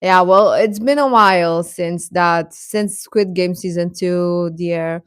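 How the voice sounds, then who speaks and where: A woman's voice: on the low side, slow pace, smooth, dry recording, studio quality. A woman hosting a casual livestream, chatting to viewers in a hesitant, rambling, stop-and-start way. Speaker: livestream host.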